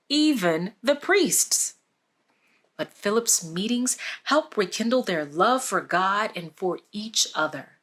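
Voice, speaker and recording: A woman reading a storybook aloud for children, with expressive, deliberate phrasing. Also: on the high side. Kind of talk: scripted storybook narration for children.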